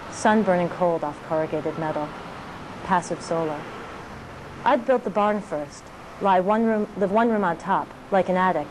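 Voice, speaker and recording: A woman poet reading her work aloud in a measured delivery of short, clipped phrases. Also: fairly low pitch, darkish tone, a little hiss or hum, low-quality recording. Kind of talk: poetry reading.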